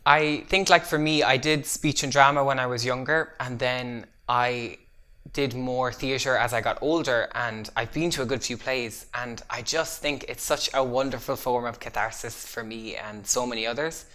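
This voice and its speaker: Casting: young woman, bright tone, earnest, reflective. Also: extremely low pitch, fairly even intonation, normal speed. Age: young adult. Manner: earnest, thoughtful. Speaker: youth theatre member. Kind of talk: reflective documentary talk.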